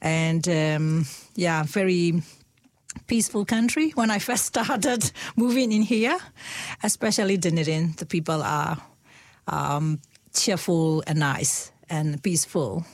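A middle-aged woman from Myanmar with a Burmese accent, talking in a halting way as a guest in a casual conversation, often repeating her words and laughing softly. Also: deep voice, dry recording.

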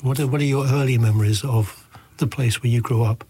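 Husky-voiced male podcast host. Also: fairly even intonation, mellow. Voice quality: deep comforting voice. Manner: measured and thoughtful, with long winding questions. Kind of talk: interviewing a guest.

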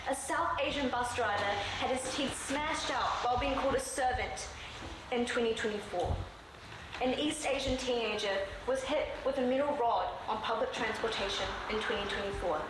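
A young woman from Sri Lanka raised in New Zealand, delivering a prepared, earnest speech at a measured pace. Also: on the high side. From born in Sri Lanka, raised in New Zealand. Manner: measured, earnest delivery with slowed emphatic phrases. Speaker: student speaker. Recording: very echoey room with background noise.

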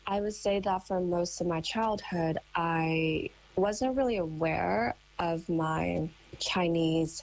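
A young woman with an American accent, a painter and writer answering interview questions in a thoughtful, measured way with frequent pauses. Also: fairly low pitch, creaky, slight background noise, low-quality recording. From United States. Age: young adult.

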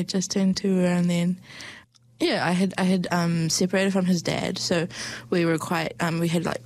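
A young woman with a New Zealand accent, reciting spoken word poetry and reflecting on it in a soft, slow, measured way with hushed phrases. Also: lowish voice, gravelly, no room sound.

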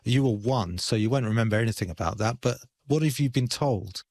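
A man asking measured questions as a broadcast interviewer. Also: mid-range voice, vocal fry, a little mellow, dry recording.

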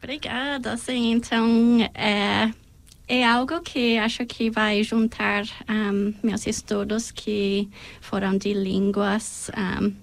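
Slow, gravelly-voiced young woman. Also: mid-range voice, level tone. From New Zealand. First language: English. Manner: hesitant, laughs often, searches for words. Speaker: guest. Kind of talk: interview.

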